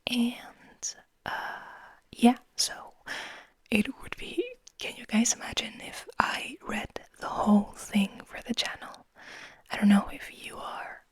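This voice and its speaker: A woman hosting ASMR, speaking in a gentle, intimate, breathy whisper at an unhurried pace. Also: medium pitch, dry recording. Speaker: ASMR host. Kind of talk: soft-spoken whispered ASMR.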